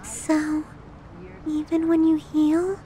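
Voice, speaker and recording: A woman's voice: high voice, flat delivery, mellow, slight background noise. A woman playing a possessive, sadistic yandere girlfriend in an ASMR roleplay, cooing and teasing with sweet menace. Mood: clingy, devoted. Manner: cooing, drawn-out, teasing, clingy delivery.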